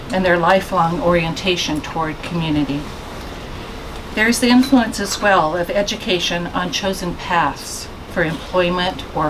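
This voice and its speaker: Soft-voiced woman reading an introduction. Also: lowish voice, noisy background. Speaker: event introducer. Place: bookstore event space.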